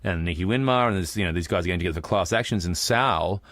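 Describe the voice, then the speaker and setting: A low-pitched Australian man chats as a podcast co-host. His delivery is casual and discursive, explaining as he goes, and the sound is dry and close. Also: very fast, a bit bright, no echo.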